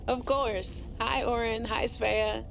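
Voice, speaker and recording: A woman playing an earnest, anxious but quietly defiant scientist in a video game audio log, hesitant and confiding. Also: fairly high pitch, steady tone, takes it slowly, vocal fry, slight background noise. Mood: shy, awkward.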